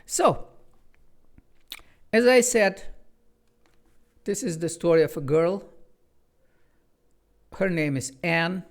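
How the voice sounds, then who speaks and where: Gravelly Bulgarian-accented man reading stories. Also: high-pitched, expressive, slow, long pauses. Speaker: author reading his own children's book. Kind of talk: chatty intro, then storybook read-aloud.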